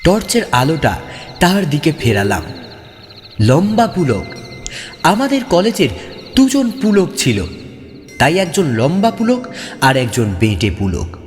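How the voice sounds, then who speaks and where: Male horror audiobook narrator: highly animated, suspenseful delivery, long reverb, music clearly underneath. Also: slow pace, long pauses. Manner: suspenseful, expressive. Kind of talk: dramatic scripted first-person narration.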